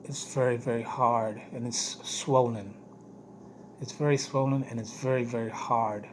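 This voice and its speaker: A man with a hoarse, weak voice giving a casual video diary update, speaking haltingly and repeating phrases for emphasis. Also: medium pitch, steady tone, poor audio. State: hoarse, weak voice after throat surgery. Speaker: vlogger giving health updates. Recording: noticeable background noise.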